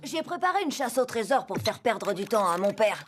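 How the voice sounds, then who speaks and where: A performer voicing a scheming, cheeky young boy who confides in an animated way, in French cartoon dubbing. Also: medium pitch, moderate pace, a little hiss or hum. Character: scheming young boy, cheeky narrator of his own pranks. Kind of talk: French cartoon dubbing, first-person narration.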